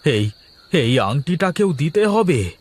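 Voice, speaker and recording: A man's voice: on the high side, very animated, slow pace, very noisy. A man voicing a self-important cartoon village council head, authoritative but easily flustered, sometimes repeating his words. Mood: alarmed. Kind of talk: cartoon voice acting.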